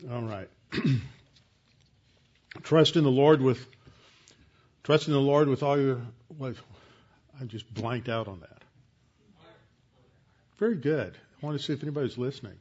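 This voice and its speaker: A man, a pastor leading a Bible class with announcements, recited verses and prayer, talking in a halting way with many fillers and restarts. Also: medium pitch, darkish tone. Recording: dull lo-fi sound.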